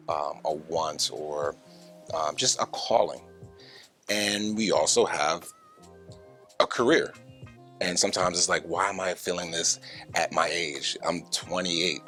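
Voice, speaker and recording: A man's voice: low-pitched, fairly bright tone. An American man hosting a casual interview, reflecting on what he hears in an encouraging, affirming, conversational way. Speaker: interview host.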